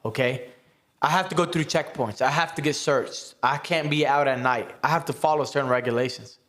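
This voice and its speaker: An American man hosting a casual round-table podcast, talking earnestly and emphatically and often checking in with a quick "right?". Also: mid-range voice, fairly bright tone. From United States. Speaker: podcast host.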